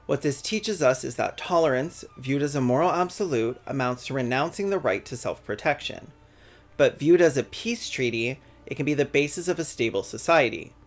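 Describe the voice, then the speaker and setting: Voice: medium pitch, expressive, moderate pace, soft background music. A person narrating a scripted video essay in a sardonic, conversational tone, cursing freely. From Canada.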